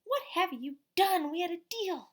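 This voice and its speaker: A woman's voice: very high voice, animated, moderate pace, pitched above the performer's own voice. Lady reading as a fed up mom in a kids book, firm, losing patience. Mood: outraged. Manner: firm, exasperated. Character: exasperated, firm mother of a young boy.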